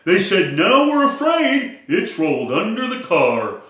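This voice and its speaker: Two flustered Dalmatians , played by a man, talk loudly in raised voices. They sound bright and lively. The room is very echoey. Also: sits high, unhurried, voice pushed up, full character voice. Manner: flustered, loud. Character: worried pair of long-legged Dalmatians.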